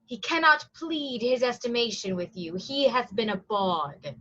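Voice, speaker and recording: Through slightly muffled lo-fi audio comes a brisk, commanding prison provost of the Shakespearean stage acted by a woman. The voice is high and unhurried, declaiming each order with stern weight and some pity. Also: very animated, pushed and bright, exaggerated voice. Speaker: actor in a staged reading.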